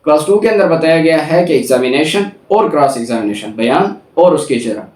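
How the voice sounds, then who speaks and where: A man's voice: on the high side, animated, a little fast, a little mellow. A man with a Pakistani accent giving a methodical explanatory lecture, reading out formal text and rephrasing each point. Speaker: law lecturer. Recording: echoey room, distant microphone.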